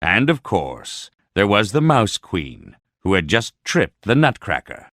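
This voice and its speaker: A man narrating a children's bedtime storybook in a deliberate, expressive way. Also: lowish voice, no echo, clean studio sound.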